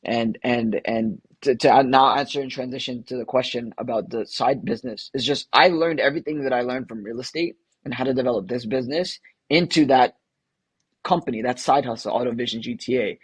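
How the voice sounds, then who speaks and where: A man from the Philippines, a guest entrepreneur telling long stories in an energetic, casual interview way. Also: medium pitch, a little fast, slightly rough, no room sound.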